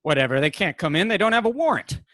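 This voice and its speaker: Man acting a high-pitched, bumbling, excitable activist. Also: fast talker, no room sound, studio quality, full character voice. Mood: dismissive. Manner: rambling, excitable, stammering delivery. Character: bumbling, impulsive, sheepishly defiant young male activist. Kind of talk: comedic stage acting over video call. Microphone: video call microphone.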